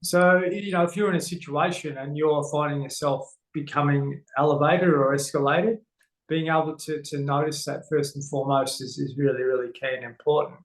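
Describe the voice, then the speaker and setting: A man's voice: fairly high pitch, steady tone, moderate pace. Earnest Australian man, echoey room. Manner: earnest, many fillers and restarts. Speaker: guest, men's health educator. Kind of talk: casual interview talk.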